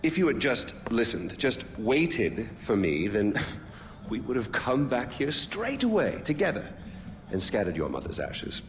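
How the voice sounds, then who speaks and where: An actor playing a flamboyant, smug tyrant king who mocks and threatens at a drawn-out, theatrical pace. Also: medium pitch, wildly swooping pitch. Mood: menacing. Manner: mocking, theatrical, drawn-out menace. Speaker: game villain. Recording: hall reverb with loud music.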